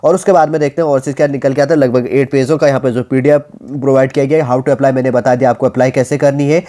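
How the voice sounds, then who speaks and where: An Indian man presenting as a coaching instructor, reading out a notice and explaining it informally in halting, repetitive phrases mixed with English terms. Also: mid-range voice, fairly even intonation, dark tone.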